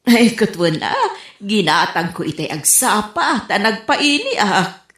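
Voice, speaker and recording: An actress playing a coy, lovestruck middle-aged auntie in a comedic radio drama, with giggly, drawn-out delivery. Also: female voice, mid-range voice, sing-song, fairly bright tone, reverberant. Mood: pleased, coy.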